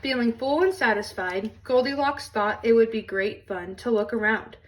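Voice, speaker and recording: High-voiced woman storyteller, slightly distant mic. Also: moderate pace. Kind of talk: expressive children's storybook read-aloud.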